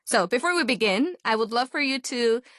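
A woman's voice: fairly high pitch, animated, brisk, a bit bright, dry recording, clean studio sound. Upbeat woman radio host. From Pakistan. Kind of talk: radio talk show hosting.

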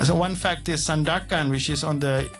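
A man with a Malaysian accent speaks as an interview guest, his voice pitched a little high for a man. He explains patiently and reels off lists of names, stopping often on "uh". Faint music plays quietly underneath. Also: fairly even intonation, moderate pace. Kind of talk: conversational interview.

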